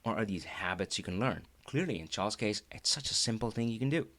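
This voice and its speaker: A middle-aged man from India with an Indian accent, Hindi his first language, hosting a live talk in a chatty, animated way with frequent laughs. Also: on the low side, quick pace. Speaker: host.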